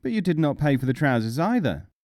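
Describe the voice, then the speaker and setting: A man acts an affronted shopkeeper from a storybook. He protests loudly and insistently, his voice pushed up higher. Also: sing-song, fast talker, dry recording, studio quality. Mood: indignant. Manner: loud, protesting. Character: affronted, insistent male shop merchant.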